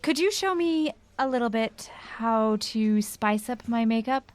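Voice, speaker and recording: A woman's voice: on the high side, lively intonation, unhurried. A woman acting a driven, brutally honest professional in a romantic comedy radio play, with a dry, impatient manner. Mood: guarded. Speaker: lead actor and first-person narrator.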